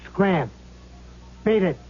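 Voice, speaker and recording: An actor playing the ghost of a brash, wisecracking gangster in a 1970s radio drama, talking in tough, curt, bossy slang. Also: male voice, high-pitched, animated, extremely slow, heavily acted. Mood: brash, impatient. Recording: muffled old radio broadcast.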